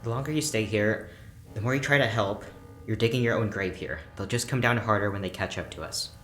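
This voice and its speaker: An actress playing a worried, apologetic doctor in an audio drama, hesitant and earnest. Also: female voice, extremely low pitch, brisk, a bit bright. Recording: roomy, music underneath.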